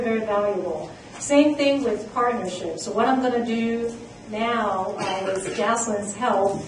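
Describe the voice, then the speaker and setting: A woman's voice: mid-range voice, slight background noise. Woman presenting in an echoey hall. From immigrant. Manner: earnest, with many fillers. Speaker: conference presenter. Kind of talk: conference talk. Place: conference room. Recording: echoey room, mic from far off.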